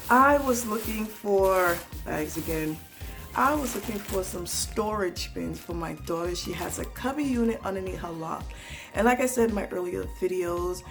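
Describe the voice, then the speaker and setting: Raspy sick-sounding woman rambling thru a haul vlog, mid pitch, kinda gravelly, faint music in back. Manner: chatty, rambling, with frequent restarts and asides. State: hoarse from a cold. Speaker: home organizing vlogger. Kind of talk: casual haul vlog talking to viewers.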